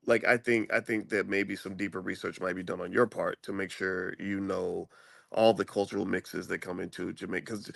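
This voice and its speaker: A man's voice: low-pitched, flat delivery, normal speed, vocal fry, fairly bright tone, no room sound, clean studio sound. A man hosting a channel, talking politics in a rambling, conversational way with many restarts. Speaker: channel host. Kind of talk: casual political chat. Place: traveling, in a room before a flight.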